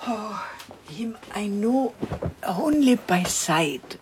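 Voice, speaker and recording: An actress in a radio drama playing a gentle, devout woman confidante who speaks simply and reflectively. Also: mid-range voice, lively intonation, drawn-out words, background noise.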